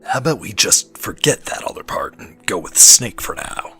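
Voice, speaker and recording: Man voicing a gruff sarcastic soldier, forceful edge, breathy deadpan growl, lively swings, music behind, parody acting. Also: lowish voice, normal speed. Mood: sardonic. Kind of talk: comedic voice acting in a parody dub.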